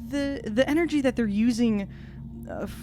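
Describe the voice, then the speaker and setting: A woman's voice: on the high side, normal speed, music in the background. A woman speaking casually as a player improvising in a tabletop game. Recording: noisy room recording.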